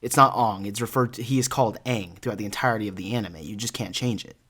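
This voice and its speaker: A man's voice: fairly low pitch, fast, a bit bright. A young American man in casual podcast discussion, giving his opinions as an emphatic fan. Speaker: podcast co-host. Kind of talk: casual panel chat about films and animation.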